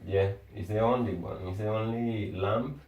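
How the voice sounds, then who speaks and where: A man with a Venezuelan accent chats casually, his voice sitting a bit low. His English is halting, and he restarts words as he hunts for the right phrase. He sounds far from the mic in a roomy, echoing space. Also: darkish tone, dull audio. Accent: Venezuelan Spanish. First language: Spanish. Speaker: engineer. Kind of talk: casual conversation. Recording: echoey room, far from the mic.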